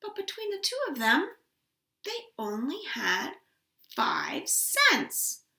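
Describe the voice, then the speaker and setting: Animated, bright-voiced woman telling children's stories. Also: sits high, gravelly. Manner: expressive, playful, with drawn-out emphasis. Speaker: children's storyteller at a library storytime. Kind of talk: animated storytelling for young children. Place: at home, indoors. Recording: echoey room.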